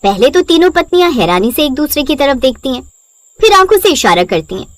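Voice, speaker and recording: High, lively female storyteller. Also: a little fast, no echo. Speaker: narrator. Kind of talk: scripted bedtime story narration.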